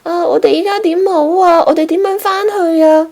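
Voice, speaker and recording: A worried little storybook penguin, acted out by a woman. The voice is very high and plaintive, fretting in exclamations like a nervous youngster. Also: steady tone, moderate pace, darkish tone. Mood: afraid. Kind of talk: children's storybook character voice.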